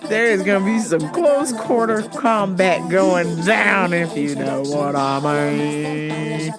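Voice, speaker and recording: A woman hosting a solo podcast with a hoarse, worn-out voice, talking in a brash, profane, joking way over background music. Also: lowish voice, slow pace. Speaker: podcast host.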